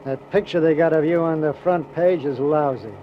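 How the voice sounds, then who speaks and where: Man acting a high-pitched, streetwise chatty cabbie. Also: normal speed, natural voice. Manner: folksy, streetwise, wisecracking. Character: chatty, blunt male city cab driver. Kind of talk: 1940s radio crime drama acting. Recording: muffled noisy vintage radio recording.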